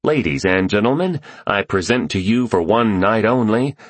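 A man's voice: fairly low pitch, lively intonation, moderate pace, slightly rough, dry recording, voice pushed up. Man as a playful, showy thirty-something dad. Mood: theatrical, playful. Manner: showman-like, grandly announcing.

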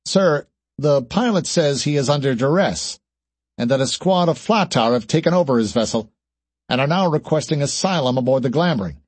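Male reader as a starship comms officer, formal, alert, raised pitch, reporting tone, science fiction audiobook, dry recording. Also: expressive, normal speed, slightly rough, no room sound. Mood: urgent report.

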